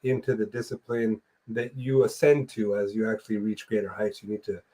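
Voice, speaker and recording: A young man hosting a live streamed interview, talking in a relaxed, rambling way with loose fillers and the odd swear word. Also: on the low side, a little fast, husky. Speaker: podcast host and musician. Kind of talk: casual interview chat. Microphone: remote streaming call mic.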